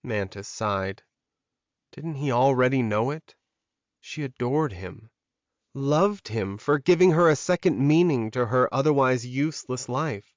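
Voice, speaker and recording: A man reads dramatic fiction as an audiobook narrator. His pitch is in the middle range. The recording is close and dry, with a slightly dull tone. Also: lively intonation, measured pace, no room sound.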